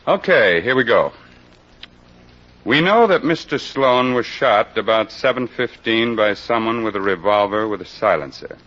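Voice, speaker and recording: A dull, vintage radio recording of a low-pitched police captain. He is brisk and authoritative, with wry sarcasm as he questions suspects in a mystery drama. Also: expressive, a bit bright. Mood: probing. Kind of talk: old-time radio mystery acting. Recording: dull, band-limited old radio recording.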